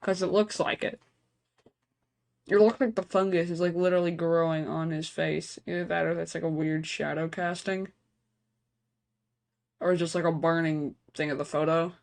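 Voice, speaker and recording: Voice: mid-range voice, little pitch movement, moderate pace, gravelly, pauses often. A reaction video host reading on-screen text aloud and breaking into casual, speculative asides. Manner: casual asides between read-out passages.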